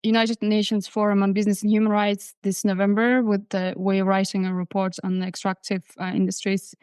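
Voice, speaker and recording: A woman's voice: mid-range voice, completely flat delivery, fairly quick, a little mellow, smooth, no room sound. A woman from the Sakha Republic, an environmental engineer and activist, introducing herself plainly with some fillers. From Sakha Republic, Russia. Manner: plain, matter-of-fact, with fillers. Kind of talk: podcast self-introduction.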